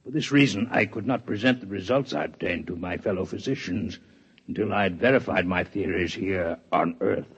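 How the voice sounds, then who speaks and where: An actor playing a proud, formal alien male scientist in a 1950s radio drama, with measured, precise diction. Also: low-pitched, breathy edge. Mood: grave. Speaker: alien doctor in a radio play. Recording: dull vintage radio recording.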